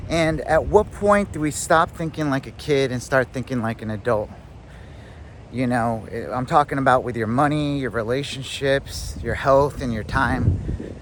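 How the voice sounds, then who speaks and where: A man's voice: mid-range voice, unhurried, a little hiss or hum. Rambling thirtysomething man vlogging outdoors. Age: 30s. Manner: rambling, filler-heavy, reflective. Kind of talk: casual self-help monologue.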